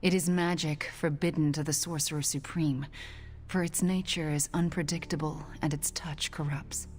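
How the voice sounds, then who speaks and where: A woman playing a guilt-ridden, determined young sorceress in a video game cutscene, speaking softly and earnestly with breathy phrase endings. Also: sits low, fairly even intonation, normal speed. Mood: solemn.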